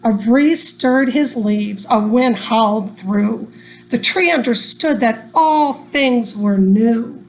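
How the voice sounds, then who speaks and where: A woman reading a rhyming children's story aloud in an expressive, deliberate storytelling manner. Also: a little high, rough recording. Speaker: storybook reader.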